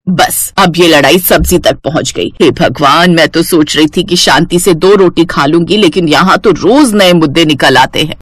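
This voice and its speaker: Woman voicing an exasperated older mother-in-law, pitched low. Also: moderate pace, hardly any pauses, dry recording. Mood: exasperated, weary. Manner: weary, complaining. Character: exasperated older mother-in-law scolding her quarrelling daughters-in-law.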